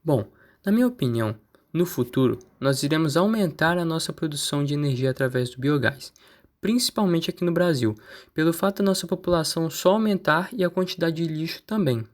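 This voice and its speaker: A man's voice: on the high side, expressive, a little fast, close to an everyday voice. A man with a Brazilian accent playing a studious, curious student who explains things like a school presentation in an amateur radio play. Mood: earnest, explanatory. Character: studious, curious male student answering teacher. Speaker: student in class.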